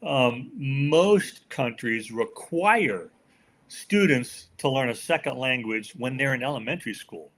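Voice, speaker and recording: A man's voice: mid-range voice, lively intonation, slow, a bit bright. Older American man, folksy talker. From United States. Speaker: podcast guest, author. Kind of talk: conversational interview answers.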